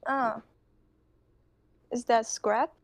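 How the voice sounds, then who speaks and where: Slow, hesitant higher-pitched woman. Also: fairly even intonation. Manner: hesitant, halting. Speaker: tabletop game player. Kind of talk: casual in-character roleplay.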